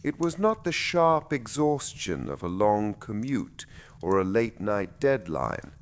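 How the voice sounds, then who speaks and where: A man narrating a bedtime story in a soothing, gentle storyteller's way, with phrases that fall softly at the ends. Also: fairly low pitch, very animated, measured pace, slight background noise.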